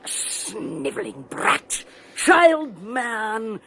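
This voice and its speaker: Radio drama villainess: theatrical, sneering wife, lively swooping delivery, cackles with scorn. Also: female voice, fairly high pitch, drawn-out words, slight background noise, full character voice. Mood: scornful. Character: domineering wealthy wife, cruel, mocking, triumphant. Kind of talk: 1980s radio drama acting.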